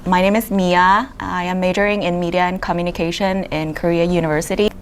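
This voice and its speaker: Low-voiced young Vietnamese woman co-host. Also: brisk, a little hiss or hum. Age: young adult. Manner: relaxed, hesitant with fillers and laughs. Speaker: podcast co-host. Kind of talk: casual chat.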